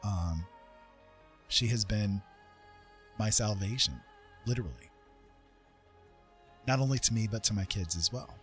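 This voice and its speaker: A man's voice: on the low side, measured pace, long pauses, soft background music. A middle-aged man chatting casually as a livestream host, earnest, hesitant and reflective, thinking as he talks. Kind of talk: casual personal chat.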